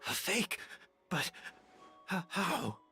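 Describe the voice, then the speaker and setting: An actor playing a grief-stricken, bewildered older man in a video game, with a husky, breathy voice and halting delivery. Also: sits high, big pitch swings, pushed and bright, soft background music, full character voice. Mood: bewildered despair. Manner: halting, pleading.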